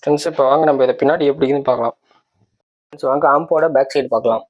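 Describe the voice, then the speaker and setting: Fast-talking man presenting. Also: medium pitch, muffled recording. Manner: explanatory, repeatedly checking listeners follow. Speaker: tech channel presenter. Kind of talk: casual product walkthrough.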